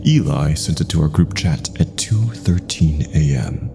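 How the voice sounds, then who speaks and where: A man with a gravelly voice reading a scripted first-person horror story in a measured, brooding manner. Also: rumbling low, animated, music in the background.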